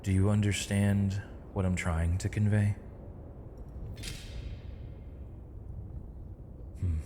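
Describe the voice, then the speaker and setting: A man playing a gentle, weary, eloquent imprisoned mage in an ASMR roleplay, speaking in measured, formal, poetic phrases with thoughtful pauses. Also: lowish voice, flat delivery, background noise, close to an everyday voice. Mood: calm, philosophical. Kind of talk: ASMR roleplay, intimate dramatic monologue. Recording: long reverb, faint night insect ambience.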